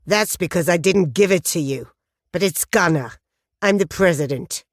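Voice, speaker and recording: A woman's voice: fairly low pitch, moderate pace, dry recording, clean studio sound, natural voice. A woman voicing a tall male biker gang president who talks in a curt, blunt, menacing way.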